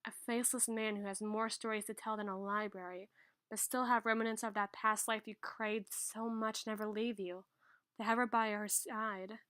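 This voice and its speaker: A woman playing an irritable, bookish high school girl who tutors and is secretly fond of her listener, in an acted ASMR roleplay monologue. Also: level tone, normal speed, smooth, dry recording, lightly acted. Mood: soft, wistful. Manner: scolding, huffy, flustered, softening when bookish.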